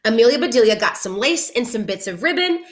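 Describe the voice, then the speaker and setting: A woman reading a children's story aloud like a teacher, animated and clearly phrased for young listeners. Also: fairly high pitch, brisk. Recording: roomy indoor echo.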